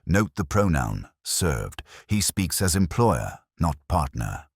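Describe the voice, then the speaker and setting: A man's voice: very deep voice, very animated, takes it slowly, husky, no room sound, studio quality, exaggerated voice. A man voicing a shrewd detective in a mystery audiobook, speaking in a clipped, precise and commanding manner. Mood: hushed, intent.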